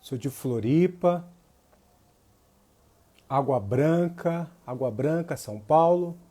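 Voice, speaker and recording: Higher-voiced man with northeastern Brazilian accent. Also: animated, slow pace, dark tone, slightly rough. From Jacobina, Bahia, Brazil. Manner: informal, slangy, repeats phrases for emphasis. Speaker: capoeira teacher. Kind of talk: casual livestream lesson, greeting viewers.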